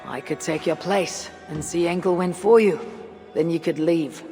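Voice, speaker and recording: An actor playing a determined, guarded Viking raider in a video game. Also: male voice, on the high side, moderate pace, vocal fry. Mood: insistent. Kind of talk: video game cutscene acting. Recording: loud music over the voice.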